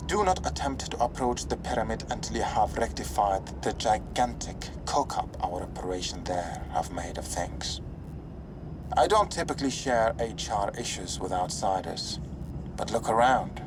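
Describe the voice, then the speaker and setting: An actor playing a dry, sardonic veteran operative giving a mission briefing in a video game, wry and understated. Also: male voice, fairly low pitch, lively intonation, normal speed, noisy background, close to an everyday voice. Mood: wry, exasperated. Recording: music playing behind the voice.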